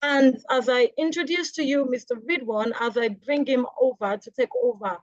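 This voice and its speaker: High-voiced, eager woman host. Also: normal speed, no echo. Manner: enthusiastic, addresses children directly. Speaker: webinar host. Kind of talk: upbeat introduction to a kids' class. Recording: dull, dry video-call audio.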